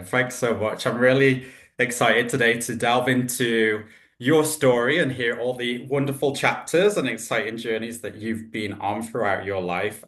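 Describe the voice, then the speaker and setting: Male podcast host, mid pitch, upbeat and encouraging, roomy echo on the mic. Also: fairly bright tone. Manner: upbeat, enthusiastic, uses "delve" and "yeah". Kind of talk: casual interview hosting. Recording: echoey room.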